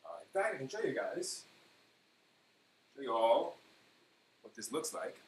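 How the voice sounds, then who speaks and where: A man hosting a casual live stream, replying to viewers in a halting way that often trails off mid-thought. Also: medium pitch, very animated. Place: indoor room at home with printer running. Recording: roomy echo, faint buzzing 3D printer noise. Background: faint buzz of a 3D printer.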